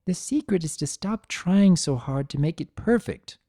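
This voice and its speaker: A man voicing an affectionate, earnest female pony caretaker, gentle and modest, in a dramatic story reading. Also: high voice, lively intonation, normal speed, dry recording, clean studio sound, voice pushed up. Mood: modest, easygoing. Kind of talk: character dialogue in a dramatic reading.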